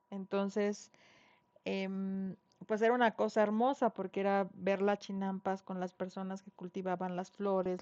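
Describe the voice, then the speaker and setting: A woman's voice: mid-range voice, a little mellow, dry recording. Mexican woman lecturing, chatty and dry-toned. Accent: Mexican Spanish (Mexico City). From Mexico City (Xochimilco). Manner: conversational, frequent fillers and tag questions. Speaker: university lecturer. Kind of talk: online class lecture. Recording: dull dry online class audio.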